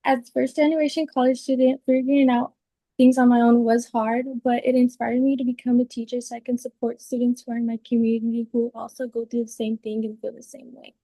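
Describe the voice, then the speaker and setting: A young woman from Southern California, sharing her background in an earnest, steady way. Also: a little high, brisk, no room sound, low-quality recording. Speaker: panel guest. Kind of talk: casual personal story.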